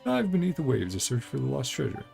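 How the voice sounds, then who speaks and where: A man voicing a grandiose, eager treasure-hunting adventurer from a video game, with florid, courtly flattery and theatrical delivery. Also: mid-range voice, quick pace, creaky, faint music behind, full character voice. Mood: eager, persuasive. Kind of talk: game dialogue read aloud in character.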